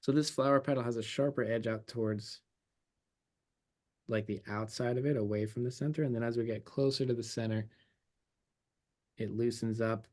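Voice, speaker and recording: A man's voice: medium pitch, fairly even intonation, moderate pace, long pauses, a little mellow. A man teaching a watercolor demonstration, thinking aloud as he paints, his voice a little worn from losing it. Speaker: painting instructor.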